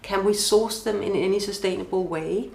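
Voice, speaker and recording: A woman's voice: mid-range voice, normal speed, vocal fry, a little mellow. Danish-accented woman in a roomy space. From Denmark. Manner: thoughtful, lists questions, some restarts. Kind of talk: podcast interview answers. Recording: roomy echo.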